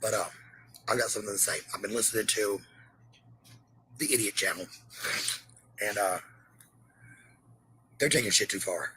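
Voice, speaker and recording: Bright-voiced man on an angry rant. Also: on the low side, moderate pace, long pauses, a little hiss or hum, low-quality recording. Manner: combative, heavy swearing, repeats phrases for emphasis. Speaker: parent hosting a livestream.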